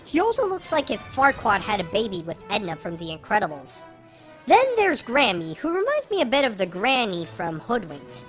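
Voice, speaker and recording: An artificially pitch-shifted voice of a film reviewer giving opinionated scripted commentary with exasperated emphasis on key words. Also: wildly swooping pitch, normal speed, a bit bright, soft background music.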